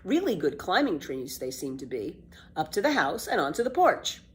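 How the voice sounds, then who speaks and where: A woman narrating a children's book as an expressive, measured storyteller. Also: sits low, a little hiss or hum.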